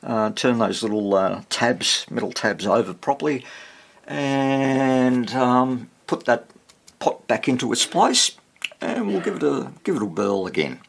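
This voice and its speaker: A man's voice: medium pitch, animated, measured pace, gravelly. A man giving casual, unscripted commentary at a workbench, rambling and thinking aloud as he works on a repair. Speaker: hobbyist radio restorer presenting own repair. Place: indoor workbench.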